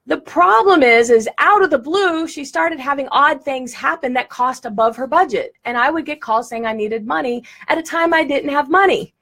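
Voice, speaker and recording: A woman's voice: high-pitched, moderate pace, few pauses, fairly bright tone. A woman teaching a live-streamed lesson, talking in a direct, enthusiastic way with bursts of laughter, on a phone or webcam mic. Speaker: law of attraction teacher.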